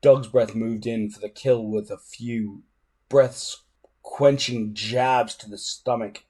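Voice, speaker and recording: A man narrating a children's adventure book aloud in an expressive, dramatic way. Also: medium pitch, slow pace, breathy edge. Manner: dramatic, animated. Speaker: storybook reader.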